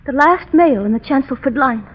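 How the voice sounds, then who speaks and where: High-voiced haunted young heiress. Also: female voice, lively intonation, brisk. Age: young adult. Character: haunted, fragile young heiress, earnest and fearful. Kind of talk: 1930s radio melodrama acting. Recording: muffled, echoing 1930s radio recording.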